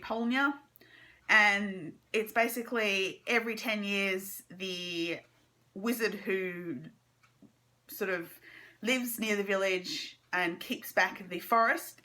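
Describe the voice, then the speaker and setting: A woman with a very bright, forward voice at an ordinary female pitch talks casually to her viewers as a book vlogger. Her delivery is informal and enthusiastic, like someone sharing recent reads. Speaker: book vlogger giving a monthly reading wrap-up. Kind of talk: casual chatty book review to camera.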